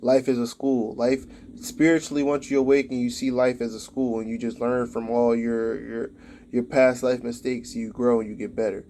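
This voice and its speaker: A man's voice: medium pitch, flat delivery, normal speed, dark tone, a little hiss or hum. A man in his late twenties giving casual spiritual advice in a rambling monologue, full of "like" fillers. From United States. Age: late 20s.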